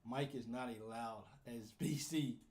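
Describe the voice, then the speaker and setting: A man's voice: medium pitch, a little slow, darkish tone. A man hosting a live fan call-in show, casual and flustered while fixing his audio setup. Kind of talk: casual live-stream chat.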